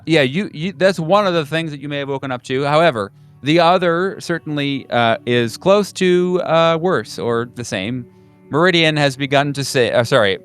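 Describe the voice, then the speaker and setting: A man's voice: medium pitch, a bit bright, clear, resonant tone. A man narrating a horror tabletop game as game master, in a hesitant, conversational and descriptive manner. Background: background music.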